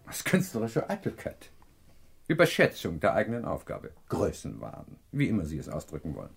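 An actor playing a self-assured professor detective in a radio crime drama, explaining precisely and with pedantic emphasis. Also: male voice, on the low side, slightly rough, heavily acted.

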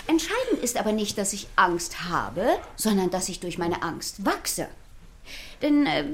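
An actress playing a flustered, nerdy expert lecturing children in stilted technical language in a radio play. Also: female voice, fairly high pitch, darkish tone, slight background noise. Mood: flustered, earnest. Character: flustered, nerdy woman expert teaching children about fear.

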